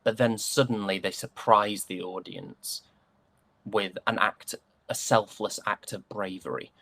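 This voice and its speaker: A man's voice: fairly low pitch, steady tone, a little slow, a bit bright. A British man talking casually as an interview guest, thoughtful and enthusiastic about his craft. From United Kingdom. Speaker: interview guest, stage and voice actor.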